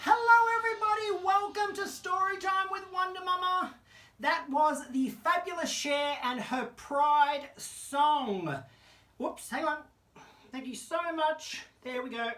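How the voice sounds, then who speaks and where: A woman's voice: sits high, a little slow, a bit bright. A woman hosting children's story time, reading a picture book to camera in a theatrical, camp, exclamatory way. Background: disco music.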